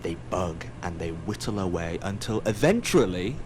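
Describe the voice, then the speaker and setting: An actor playing a wry, ominous narrator of a sci-fi audio drama, dramatic and sardonic. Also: male voice, low-pitched, animated, moderate pace, darkish tone, slight background noise. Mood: tense, ominous. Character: wry, ominous, all-seeing storyteller of a sci-fi tale.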